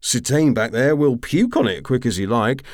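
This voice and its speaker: A man's voice: medium pitch, animated, normal speed, no room sound, studio quality, voice pushed up, close to an everyday voice. A man voicing a tipsy, roguish mercenary commander in an audiobook, drawling and rambling with boastful charm. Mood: roguish, amused. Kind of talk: audiobook character acting.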